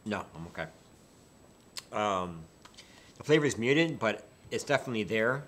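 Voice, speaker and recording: Relaxed man musing over a beer. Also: on the low side, normal speed. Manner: relaxed, musing, many fillers and restarts. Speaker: beer reviewer co-host. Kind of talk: casual tasting chat. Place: small indoor studio set with dark curtain.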